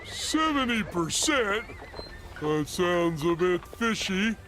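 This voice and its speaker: A man voicing a slow-witted, easygoing cartoon starfish sidekick in a game cutscene, dopey and unhurried. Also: high voice, very animated, pushed and bright, a little hiss or hum, exaggerated voice. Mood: dim, pleased. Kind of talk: animated game cutscene acting.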